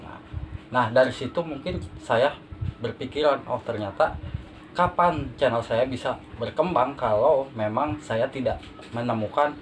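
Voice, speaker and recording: A man answering casual interview questions as a podcast guest, humble and halting, repeating his phrases. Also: medium pitch. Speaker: podcast guest, beginner YouTuber. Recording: noisy amateur phone recording.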